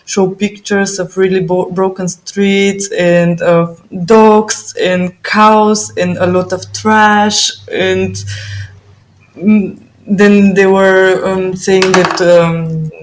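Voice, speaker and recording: A woman's voice: mid-range voice, level tone, extremely slow, rough recording. A young woman from Bulgaria being interviewed on a podcast, speaking with many fillers and restarts and laughing easily. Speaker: podcast guest. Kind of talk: casual interview talk. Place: living in Germany, remote call.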